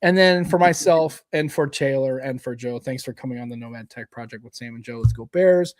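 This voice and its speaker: A man's voice: mid-range voice, fairly quick. A middle-aged man co-hosting a podcast, chatting casually, joking and swearing as he rambles. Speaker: podcast co-host. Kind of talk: casual chat.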